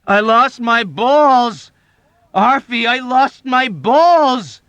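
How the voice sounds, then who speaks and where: A man voicing a wounded, panicking male airman who shouts and pleads, close to tears. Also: very high voice, expressive, very slow, no room sound, muffled recording, pitched above the performer's own voice, full character voice. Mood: panicked.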